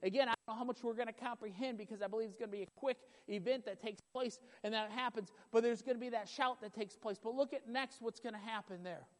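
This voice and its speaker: A man preaching a sermon with a loud voice, emphatic and repetitive, building in intensity. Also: very high voice, fast, hardly any pauses. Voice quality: loud voice at times. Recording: long hall reverb.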